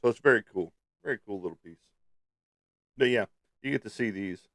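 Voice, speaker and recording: A low-pitched man hosts a casual livestream in a close, dry sound. He is slightly stuffed up and talks to viewers in a playful, teasing way. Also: fairly quick, fairly bright tone, no echo. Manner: playful, teasing, peppered with sung sound effects. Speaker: livestream host.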